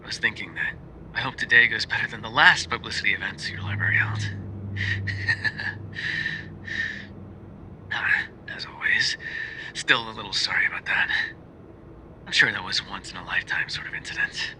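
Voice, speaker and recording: Man acting a bright, breathy lovesick mafia boyfriend. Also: lowish voice, normal speed, long pauses, a little hiss or hum, low-quality recording. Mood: sheepish, longing. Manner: soft-spoken, hesitant, often dropping to a whisper. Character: lovesick, bookish mafia boyfriend, tender and sheepish. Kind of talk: ASMR roleplay voice acting, intimate phone voicemails.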